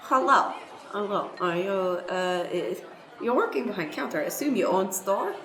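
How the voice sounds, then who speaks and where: A woman's voice: mid-range voice, expressive, moderate pace, fairly bright tone, a faint crowd, natural voice. A woman playing an inquisitive lawyer in an improvised comedy radio play, speaking in clipped phrases with dropped articles. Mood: eager. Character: inquisitive lawyer with clipped, broken-English speech. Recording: roomy echo, audience noise.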